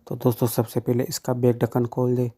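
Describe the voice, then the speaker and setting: A man's voice: medium pitch, monotone, brisk, warm tone. A man giving plain, informal step-by-step how-to instructions, speaking directly to the viewer. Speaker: phone repair tutorial presenter.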